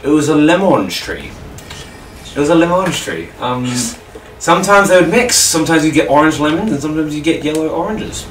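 A man's voice: a little high, expressive, brisk, fairly bright tone. A man joking crudely and casually as he chats over gameplay and makes up silly stories. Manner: jokey, crude, giggly. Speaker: gaming video co-host improvising stories. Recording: distant mic with faint noise, roomy.

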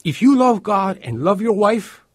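A man's voice: high voice, sing-song, measured pace, husky, no room sound, studio quality, full character voice. An actor playing a kindly, earnest stepfather who loves his family in a radio drama.

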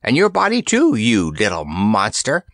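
A man's voice: on the low side, wildly swooping pitch, a little slow, fairly bright tone, no room sound, clean studio sound, heavily acted. A man voicing an oily, menacing flying headsman monster that gloats and chatters in a storybook. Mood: gloating menace.

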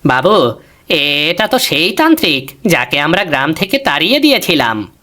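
A man voicing an excitable, scheming villager who talks in an alarmed, deferential way. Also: a little high, moderate pace. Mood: anxious. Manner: animated, alarmed.

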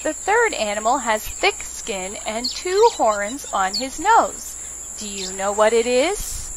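A woman reads a preschool story in a high, very lively voice. She speaks to small children with simple questions and prompts. There is clear background noise. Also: takes it slowly. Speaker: children's librarian reading stories.

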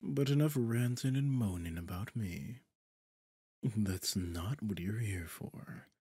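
A man playing a smug, possessive devil in a roleplay audio drama, suave and pompous, with a breathy, husky delivery that often drops toward a whisper. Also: on the low side, mellow, no echo, studio quality. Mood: condescending. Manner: theatrical, silky, pompous, drawn-out phrasing. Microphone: close, dry studio microphone.

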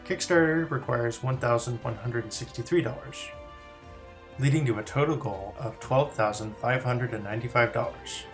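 A man's voice: medium pitch, moderate pace. Man narrating a scripted promo over music. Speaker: writer-director presenting his project. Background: soft music.

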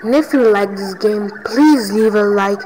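A woman hosting her own gaming channel, giving let's play commentary and reacting loudly to each win and death. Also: medium pitch, unhurried, a little hiss or hum, rough recording.

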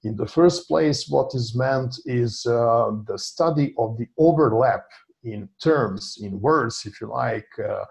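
A man's voice: medium pitch, slow, vocal fry. A man, a data scientist walking an online meeting through a dashboard on a shared screen, with many fillers and restarts. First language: Serbian. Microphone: video call.

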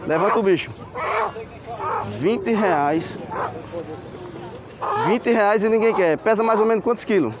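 A man's voice: high voice, unhurried, pauses often. A man, touring an outdoor street market on camera and exclaiming over the stalls as he walks. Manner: enthusiastic, exclaims "que maravilha", points things out. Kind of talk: walking market tour vlog. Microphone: handheld camera mic, filming while walking. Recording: crowd noise behind.

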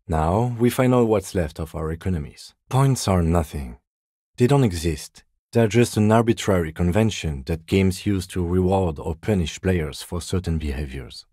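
An actor playing a calm, methodical middle-aged police lieutenant in a video game, measured, understated and dryly wry, with a husky voice. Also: male voice, sits low, no room sound, studio quality. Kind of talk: video game character dialogue.

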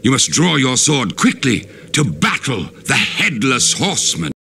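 An actor playing an ominous narrator of a fantasy horror adventure, telling it to the listener in suspenseful radio play style. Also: male voice, medium pitch, huge pitch swings, a little slow, creaky, bright, forceful tone, a little hiss or hum, full character voice. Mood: suspenseful, dramatic. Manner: theatrical, suspenseful. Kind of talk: dramatic second-person radio play narration.

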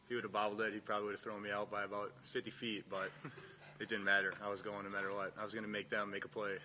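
A young man playing college baseball, recounting a play in a relaxed way with fillers at a press conference table mic. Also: lowish voice, fast talker. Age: young adult. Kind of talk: postgame press conference answers. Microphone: table microphone. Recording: noisy press room.

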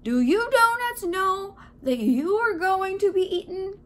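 Woman putting on a loud, high, singsong baker. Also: big pitch swings, unhurried, exaggerated voice. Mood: matter-of-fact. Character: cheerful, matter-of-fact downtown baker. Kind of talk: children's storybook character voice.

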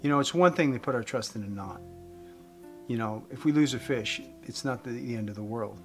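A man in his fifties giving an earnest evangelistic talk to camera as a host, phrasing deliberately with emphasis. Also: mid-range voice. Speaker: host giving a faith message. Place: indoors, room with wooden beams. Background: guitar music.

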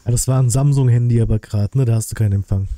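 A man's voice: medium pitch, fairly even intonation, rapid-fire, mellow, dry recording. A man in his thirties giving casual, slangy live reaction commentary as a video host. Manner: colloquial, slangy.

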